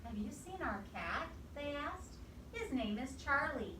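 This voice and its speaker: A woman with a highish voice reads a picture story aloud to young children. She is expressive and stops to put simple questions to them. The sound is echoey and picked up from a distance, with clear noise behind her. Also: unhurried.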